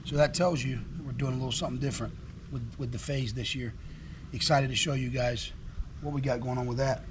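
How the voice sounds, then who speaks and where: Hoarse man, casual and eager show-and-tell, noisy outdoor take. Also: medium pitch, steady tone, a little fast. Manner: enthusiastic, repetitive, folksy. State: hoarse from illness. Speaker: trucking vlogger. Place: outdoors at a house.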